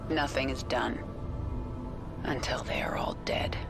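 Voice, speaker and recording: A woman playing a ruthless, haunted warrior queen of an alien swarm in a video game, with a grim, measured, commanding delivery. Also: rumbling low, wildly swooping pitch, vocal fry, over loud music, full character voice. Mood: haunted, bitter. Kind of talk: dramatic video game cutscene dialogue.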